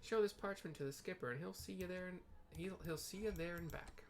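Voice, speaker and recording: A man plays a gruff, grumbling dockside boss from a fantasy game. The voice creaks and sits a little above his own talk, with rustic phrasing and folksy tags on the ends of sentences. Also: sits high, fairly quick, voice pushed up. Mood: eager, folksy. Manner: rustic dialect, tacked-on tag phrases. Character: gruff male port supervisor, rustic and grumbling.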